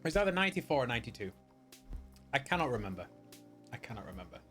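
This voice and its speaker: Male game streamer, middle-aged, mid-pitched voice, casual and rambling, halting delivery over music. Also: big pitch swings, a bit bright. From United Kingdom. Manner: rambling, halting, often searching for words. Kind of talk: casual livestream chat. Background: music behind the voice.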